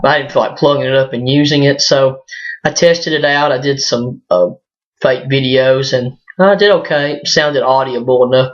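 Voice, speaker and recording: Hoarse guy rambling through a vlog, lots of ums and restarts, kinda dull lo-fi built-in mic sound. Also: mid-range voice. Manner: halting, rambling, many fillers and restarts. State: hoarse, voice cracking from a sinus illness. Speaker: vlogger. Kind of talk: casual personal vlog monologue. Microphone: built-in device mic, no external microphone.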